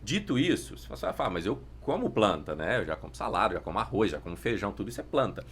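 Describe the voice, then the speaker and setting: A man's voice: on the low side, big pitch swings, fast talker, fairly bright tone, a little hiss or hum. A Brazilian man explaining things informally as a guest in a conversational business interview, with slang and tag questions. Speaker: podcast guest, entrepreneur.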